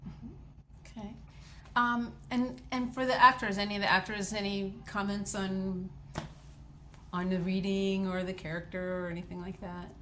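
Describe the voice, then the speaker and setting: A woman's voice: mid-range voice, little pitch movement, normal speed, fairly bright tone, a little hiss or hum. A woman moderating an informal Q&A, putting questions to the panel. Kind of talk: informal audience Q&A.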